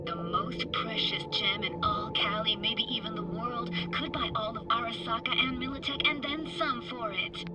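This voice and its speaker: A woman's voice: on the high side, normal speed, hardly any pauses, loud music behind the voice, close to an everyday voice. A young woman acting a frank, wry character in a video game, speaking in a casual, slangy, conversational way. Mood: playful, nostalgic. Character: frank, wry young woman reminiscing about her drowned hometown.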